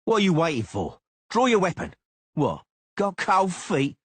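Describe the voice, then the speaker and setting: A man voicing a boastful, hot-headed young swordsman who jeers and shouts challenges. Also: mid-range voice, big pitch swings, moderate pace, husky, no room sound, voice pushed up. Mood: shouting. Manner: loud, jeering, challenging.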